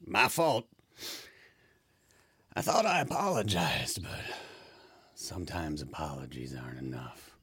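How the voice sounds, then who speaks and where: An actor playing a dying man in hospice in an audio play, wry and resigned, with a halting delivery. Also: extremely low pitch, very animated, fairly bright tone, husky, no echo, studio quality, heavily acted. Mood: regretful.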